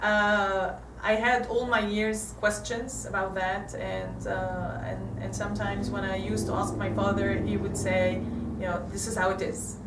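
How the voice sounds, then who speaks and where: A woman's voice: medium pitch, flat delivery, recorded from a distance, noisy background. Egyptian woman in an echoey hall. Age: 40s. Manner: halting, many restarts. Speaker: guest speaker. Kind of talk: casual talk with slides. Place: church hall.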